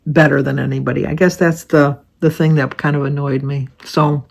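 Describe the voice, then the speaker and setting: A woman in her seventies talks to her viewers in a loose, wandering way, drifting from one subject to the next. Her voice has a slight rasp. She sounds relaxed and chatty, speaking from home. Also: low-pitched, normal speed. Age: 70s. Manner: rambling, chatty, wanders off topic. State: slightly raspy voice. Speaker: vlogger. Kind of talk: casual chat to camera.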